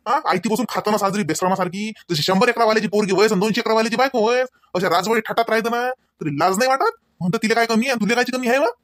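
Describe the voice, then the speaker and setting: A man's voice: sits high, very fast, few pauses, a bit bright, no echo, studio quality. A man playing a proud, angry village husband in a comedy cartoon, scolding in a Varhadi Marathi accent. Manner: scolding, firing off rhetorical questions. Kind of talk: amateur comedy cartoon dialogue.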